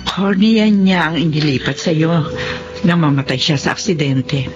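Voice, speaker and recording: A woman playing a concerned, loyal middle-aged friend in a radio drama, earnest and expressive. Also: low-pitched, unhurried. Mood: consoling. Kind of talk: Tagalog radio drama acting. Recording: muffled radio drama audio with music.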